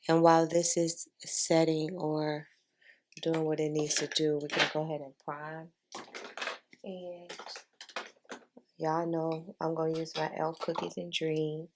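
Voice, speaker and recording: A woman's voice: low-pitched, flat delivery, measured pace, pauses often, noisy background. A woman talking in a casual, chatty way as a beauty vlogger giving a makeup tutorial, informal and repeating herself for emphasis.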